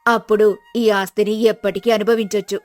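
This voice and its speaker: A woman voicing a scheming, sharp-tongued poor village wife in a storybook drama, talking in a bossy, scolding way. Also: mid-range voice, level tone, a little fast, soft background music, natural voice.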